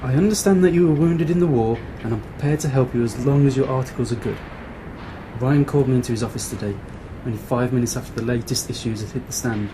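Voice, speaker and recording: A man's voice: mid-range voice, fast talker, background noise, natural voice. A man playing a stern, exasperated newspaper editor in an amateur radio play, reasoning and admonishing as he speaks. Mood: serious.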